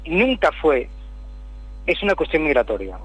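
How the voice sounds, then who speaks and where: Measured middle-aged man on a humming line. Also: fairly high pitch, sing-song, a bit bright, breathy edge, rough recording. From Spain. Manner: measured, explanatory, repeats words while searching. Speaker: guest, city councillor. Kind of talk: political interview over the phone.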